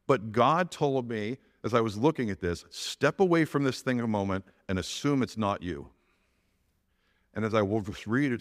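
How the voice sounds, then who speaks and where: A man's voice: lowish voice, in a large hall. A middle-aged man with a big voice, giving an earnest personal testimony as a church elder, often restarting his sentences.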